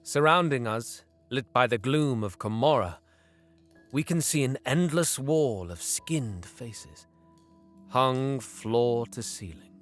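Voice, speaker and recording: A vengeful, covetous young alien prince performed by a man narrates an audio drama. His voice is mid-pitched and brooding, with slow, deliberate phrasing that can fall to a hiss. Music sits faintly underneath. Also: animated, a bit bright. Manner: brooding, deliberate, dropping to hissed whispers.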